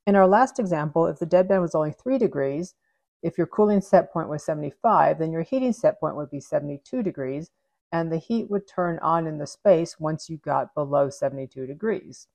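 A woman's voice: low-pitched, a little fast, dry recording. A woman in her forties, the host of an HVAC channel, explaining a control concept step by step over whiteboard drawings in a home office. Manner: clear, steady step-by-step explaining. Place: home office with shelves and neon sign.

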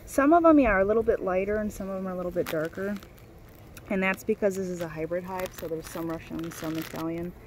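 A woman's voice: fairly low pitch, fast, rough recording. A woman beekeeper chatting and explaining as she inspects a hive outdoors, recorded on a handheld camera. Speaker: beekeeper, co-host. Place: outdoors at a backyard beehive. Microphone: handheld camera mic, crinkling gloves. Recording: outdoor hum of buzzing bees.

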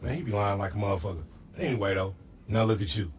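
A man's voice: lowish voice, fast talker, a little hiss or hum, natural voice. A man playing a brash, aggrieved hustler buddy in a video game, theatrical and boastful, with slangy trash talk. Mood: teasing. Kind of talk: video game comedy acting.

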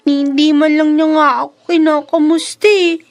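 A woman's voice: sits high, little pitch movement, moderate pace, close to an everyday voice. A woman playing a sweet, wistful young girl in a Filipino radio drama, childlike and plaintive. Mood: wistful, earnest.

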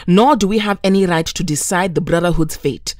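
Woman acting deep-voiced, principled heroine. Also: a little fast, no echo, clean studio sound, natural voice. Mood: firm, principled. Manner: formal, firm. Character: principled, authoritative female mutant team leader. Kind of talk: audio drama acting.

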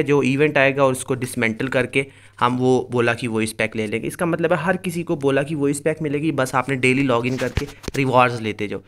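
A man's voice: medium pitch, fairly bright tone, smooth. A man hosting a gaming tips video, explaining things step by step in a casual way and addressing viewers directly. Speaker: host of a mobile game tips channel. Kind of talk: casual tutorial commentary over gameplay.

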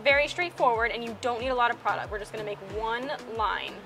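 A young woman with a slightly high voice walks through a boat-coating job in an upbeat, step-by-step manner. She speaks inside a large boat warehouse on a clip-on mic. A clear music bed plays under her voice. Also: quick pace. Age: young adult. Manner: upbeat, explanatory, talks step by step. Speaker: co-host of a boating channel. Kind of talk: casual how-to vlog narration.

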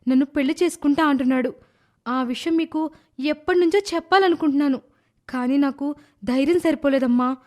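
A man doing a gentle, high young mother. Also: a little fast. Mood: nervous. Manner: soft pleading falsetto.

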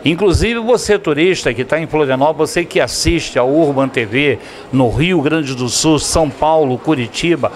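A man's voice: a little high, moderate pace, hardly any pauses, slight background noise. A middle-aged man hosting a TV show, enthusiastically interviewing and promoting to viewers from inside a restaurant on a handheld mic. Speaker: TV show host. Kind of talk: upbeat on-location interview. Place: indoor restaurant dining room. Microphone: handheld microphone.

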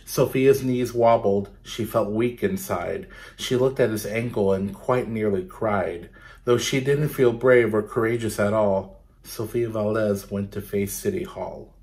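Guy doing kids storytime, expressive read-aloud, stops to explain stuff and ask questions. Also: on the low side, measured pace. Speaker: storytime presenter reading a picture book. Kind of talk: children's storytime read-aloud with asides and questions to young listeners. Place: small bedroom indoors.

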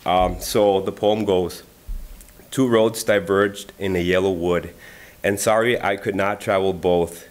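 Earnest low-voiced man at a lectern. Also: steady tone, measured pace. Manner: earnest, with frequent "um" fillers. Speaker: community member reading a poem. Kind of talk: personal reflection and poetry reading at a library event. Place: library meeting room. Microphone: lectern microphone.

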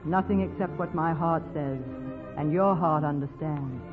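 A woman's voice: sits low, measured pace, in a large hall. An actress playing a brave, romantic young woman with a secret doom, in 1940s radio drama style. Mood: wistful, tender. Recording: old phone-like radio recording with music.

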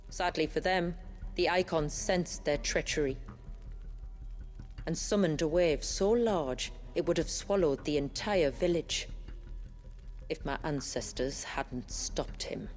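Low-voiced, solemn village elder. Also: female voice, a little mellow. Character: proud, weary woman village elder guarding a secret. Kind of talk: video game cutscene acting. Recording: music playing behind the voice.

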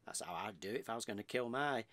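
An actor playing an excitable, morbid husband in a comic radio play, melodramatic and gossipy, with a British accent. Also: male voice, fairly low pitch, quick pace, no echo. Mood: scheming, earnest. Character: excitable morbid husband spinning lurid murder theories.

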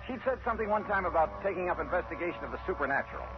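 An actor playing a wry, skeptical hard-boiled insurance investigator in old-time radio drama, with dry, clipped delivery and folksy asides. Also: male voice, sits high, animated, rapid-fire. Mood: wry narration. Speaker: lead actor and narrator. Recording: muffled vintage radio recording, music behind.

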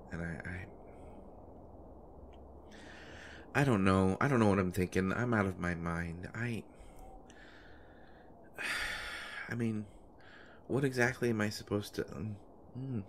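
A man's voice: sits low, a bit bright. A man playing an anxious, devoted boyfriend of a pregnant woman in an intimate roleplay audio, speaking in a hesitant, stammering way. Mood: self-doubting. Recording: noticeable background noise.

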